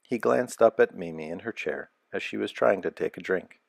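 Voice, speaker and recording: Deep-voiced man reading a children's story. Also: moderate pace, gravelly, dry recording. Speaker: storybook narrator. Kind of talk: scripted children's story read-aloud.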